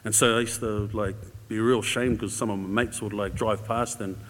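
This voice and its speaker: A man's voice: on the low side, steady tone, brisk, a bit bright. A man with a New Zealand accent gives an informal church talk as a guest speaker, sharing a personal story in a casual, conversational, somewhat hesitant way. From New Zealand, grew up in Auckland. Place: large indoor church hall. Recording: echoey hall with long reverb.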